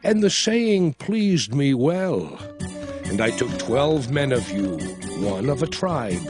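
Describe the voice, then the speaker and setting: Moses, a solemn old prophet, recounts in a very slow, lively-pitched voice. Music plays clearly beneath his narration in this scripture drama. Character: Moses, aged solemn male prophet and leader. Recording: studio voice over music.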